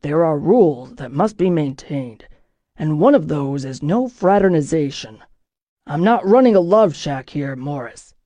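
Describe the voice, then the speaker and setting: A woman voicing a stern middle-aged male ship captain, speaking in low, clipped, menacing commands for an audiobook. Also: animated, a little slow, dark tone, dry recording. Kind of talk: audiobook character voice.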